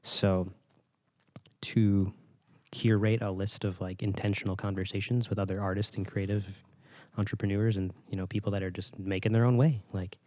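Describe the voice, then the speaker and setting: A man's voice: on the low side, level tone, normal speed, mellow, no room sound, clean studio sound. A man hosting a podcast in casual interview chat, phrasing his thoughts hesitantly and encouragingly. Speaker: podcast host.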